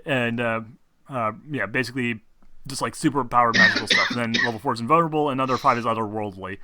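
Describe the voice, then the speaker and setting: A man's voice: medium pitch, pushed and bright, no echo. A man working as game master, explaining tabletop game rules informally in a hesitant, rambling way with casual swearing.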